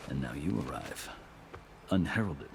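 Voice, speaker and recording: War-weary veteran commander, very low voice, eloquent, formal, measured, game cutscene drama, ambient noise behind. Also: male voice, very animated, vocal fry, a little mellow, heavily acted. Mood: wry, curious. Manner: eloquent, formal, deliberate storytelling.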